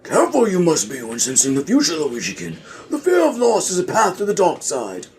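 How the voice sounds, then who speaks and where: A man voicing a wise old Jedi master who ponders slowly in backwards word order, in a parody audio play. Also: high-pitched, wildly swooping pitch, a little mellow, slight background noise, pitched above the performer's own voice, heavily acted. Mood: solemn counsel. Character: wise old male Jedi master speaking in inverted riddles.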